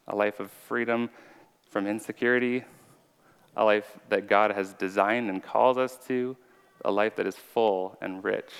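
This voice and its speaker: A man's voice: on the low side, vocal fry, a bit bright, clear, resonant tone. A man giving an earnest, conversational sermon as an informal preacher with a slight stutter. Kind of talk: conversational sermon with personal testimony. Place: large reverberant room. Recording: reverberant hall.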